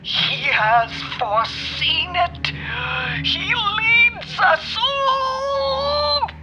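A woman's voice: extremely high pitch, lively intonation, very slow, sharp tone, faint music behind, heavily acted, rough recording. An actress playing an infected woman possessed by a fungus, chanting and whispering eerily in a radio drama. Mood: eerie fervent. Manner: eerie chanting, whispers and cries.

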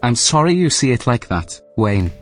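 An actor playing a polite, unflappable artificial intelligence in an audio drama, speaking in a calm, formal, courteous way. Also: male voice, mid-range voice, moderate pace, soft background music, lightly acted.

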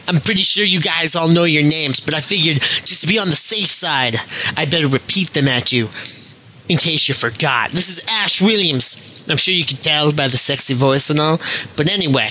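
A man's voice: a little high, bright, forceful tone. A man leaving a recorded call-in message for a podcast in a mock-pompous, self-promoting manner. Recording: slightly noisy amateur voice recording.

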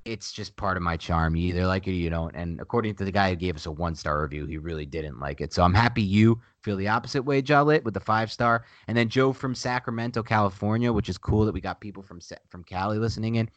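A man's voice: low voice, fast, few pauses, no echo. A man co-hosting a casual sports podcast, with a nasal voice and an emphatic way of repeating his points. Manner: emphatic, repeats himself when pressing a point. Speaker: podcast co-host.

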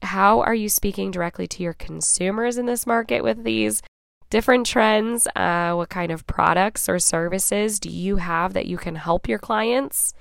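A woman hosting her own podcast in a casual, chatty way, hoarse and raspy from a cold, often checking in with "right?" and "okay?". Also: medium pitch, dry recording, studio quality. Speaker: podcast host. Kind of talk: casual solo podcast talk.

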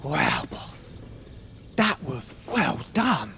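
An actress playing a villain's eager, flattering henchman in an audio comedy, talking in a chummy, informal way. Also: deep voice, extremely slow, a little hiss or hum, heavily acted. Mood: admiring. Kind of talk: audio fiction comedy acting.

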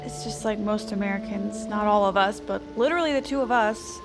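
An actress playing a blunt, quirky old confidante in an audio drama, deadpan with rambling odd humor. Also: female voice, mid-range voice, a little fast, music in the background, natural voice. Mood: frank, regretful. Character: dry, quirky, frank old confidante of a First Lady. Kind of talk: audio fiction drama dialogue.

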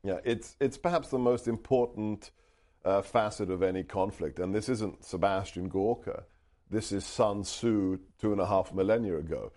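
A man's voice: on the low side, moderate pace, a little mellow. A middle-aged man raised in the UK, a Hungarian speaker, earnestly telling a story as a guest and restarting his phrases often. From United Kingdom. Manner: earnest, emphatic, with frequent restarts. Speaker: author and guest. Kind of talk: interview answers, storytelling.

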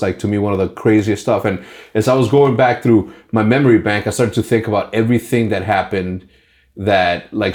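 A man hosting a podcast interview, energetic and rambling, restarting his sentences often. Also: fairly low pitch. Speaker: podcast host.

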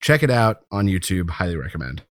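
A man's voice: deep voice, sing-song, a little fast. A man co-hosting a podcast, casually chatting and giving recommendations. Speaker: podcast co-host. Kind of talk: casual review and recommendation chat.

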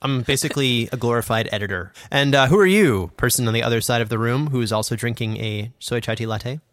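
A man's voice: mid-range voice, quick pace, a bit bright, dry recording. A man co-hosting a podcast as a producer, explaining things in a casual, loosely phrased conversational way. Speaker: podcast co-host, producer and sound editor.

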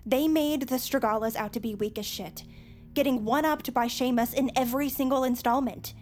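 A woman playing a nerdy, earnest high school superfan in an audio drama, explaining things at length. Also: moderate pace, darkish tone, lightly acted.

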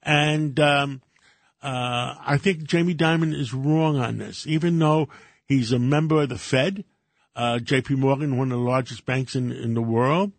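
An older Greek-born man, a radio co-host, who talks casually in halting bursts with many fillers and restarts. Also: mid-range voice, dry recording. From Greece.

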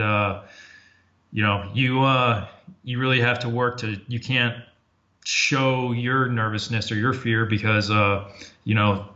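A man talking as a podcast guest, reflective and casual, often pausing with fillers and restarting his sentences. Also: medium pitch, bright, forceful tone. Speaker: podcast guest, former Army Ranger officer. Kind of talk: casual interview talk. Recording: dull lo-fi audio.

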